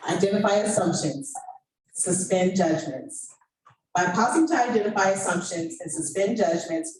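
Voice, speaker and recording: A woman co-facilitating an event, presenting discussion guidelines to a group in a measured, deliberate way. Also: fairly low pitch, a little mellow. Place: indoor event room with online participants. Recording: echoey room, distant mic, faint noise.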